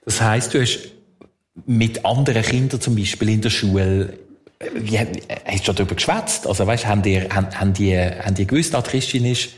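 A man's voice: on the low side, fairly quick. Swiss male interviewer, searching for words. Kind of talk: conversational interviewing.

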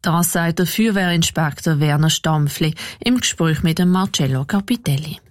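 A woman from the area, reading local radio news in a steady, even way. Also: low-pitched, moderate pace. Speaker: newsreader.

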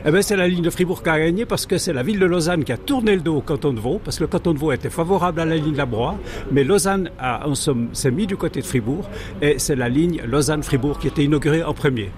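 A man's voice: on the high side, fast, crowd noise. A man with a Swiss French accent explaining history conversationally as a guest, hesitant, digressive and good-humoured. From French-speaking Switzerland, Jura arc. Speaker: guest, amateur railway historian.

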